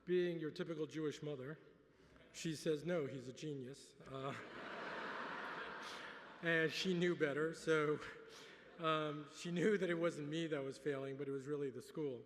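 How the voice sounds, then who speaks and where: A middle-aged man whose first language is French gives a relaxed acceptance speech at a gala dinner, with dry humor and many filler words. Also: fairly high pitch, unhurried, pauses often, a crowd in the background. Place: large banquet hall. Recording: reverberant hall.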